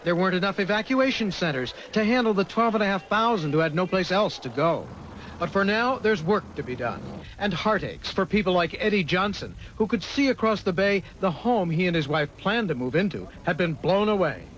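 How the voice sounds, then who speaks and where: Animated high-voiced newsman, muffled recording. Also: fairly quick, slight background noise. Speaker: television news reporter. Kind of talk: scripted broadcast news narration.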